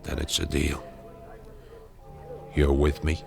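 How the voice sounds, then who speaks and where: A cold, gravelly-voiced vengeful veteran. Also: male voice, extremely low pitch, level tone, takes it slowly, music in the background, heavily acted. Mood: scheming coldly. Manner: cold, persuasive, matter-of-fact about killing. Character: vengeful male war veteran plotting a murder. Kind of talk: 1970s radio drama acting.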